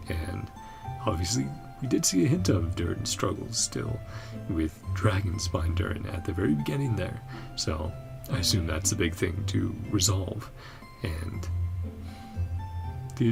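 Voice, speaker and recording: A man with a low, creaky voice and lively intonation hosts a gaming reaction video. He talks casually and hesitantly, wandering between thoughts and often chuckling softly as he goes. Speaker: gaming reaction channel host. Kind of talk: casual chat, game reaction commentary.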